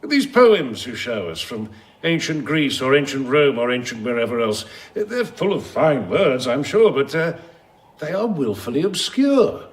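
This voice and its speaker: An actor playing an officious, pompous and censorious neighbour in a radio drama, speaking with pedantic indignation. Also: male voice, medium pitch, sing-song, measured pace. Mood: pedantic challenge.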